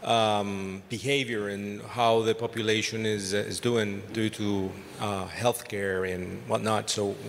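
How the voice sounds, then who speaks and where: A man's voice: fairly low pitch, little pitch movement, unhurried, vocal fry, hardly any pauses. Hesitant man. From Costa Rica. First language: Spanish. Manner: hesitant, many fillers and restarts. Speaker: audience member asking a question. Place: conference hall. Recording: reverberant hall, faint noise.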